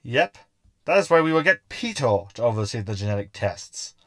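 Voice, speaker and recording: Man playing a sarcastic, animated robot crewmate. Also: mid-range voice, normal speed, no room sound, clean studio sound. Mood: mocking. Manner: sarcastic, verbose, mocking. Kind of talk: sci-fi comedy audio drama acting.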